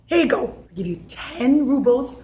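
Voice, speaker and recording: A rich old father who blusters and cries out in disbelief, as a woman storyteller puts him on. The voice sits high and bright. The recording has a roomy echo. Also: animated, normal speed. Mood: indignant. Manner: exasperated, shouting in disbelief.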